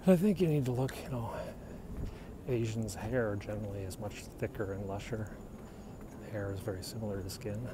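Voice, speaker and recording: A middle-aged man from the United States chats casually on a handheld phone as he walks outdoors, answering viewers as he goes. His voice sits a little low. Steady outdoor noise runs under the talk. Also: normal speed. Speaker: livestreamer. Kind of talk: casual live-stream chat while hiking. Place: outdoors on a hilltop walk. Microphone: phone held while walking. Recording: noisy outdoor phone recording.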